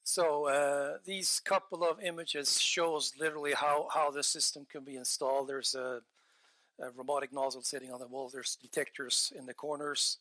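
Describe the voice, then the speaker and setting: A man's voice: fairly high pitch, steady tone, moderate pace, pushed and bright. A man in his forties giving an earnest technical talk on a headset mic in a large conference hall, gesturing as he speaks and often restarting his phrases. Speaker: conference presenter.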